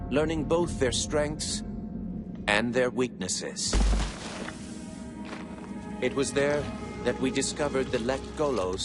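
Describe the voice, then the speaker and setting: Solemn pious alien narrator, game cutscene, loud music under it. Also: male voice, medium pitch.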